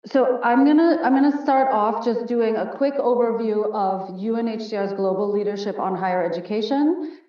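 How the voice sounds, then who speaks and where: A woman hosting and moderating a panel on a video call, friendly and full of ums and quick asides. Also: mid-range voice. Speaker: webinar host. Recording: roomy, distant, dull video-call audio.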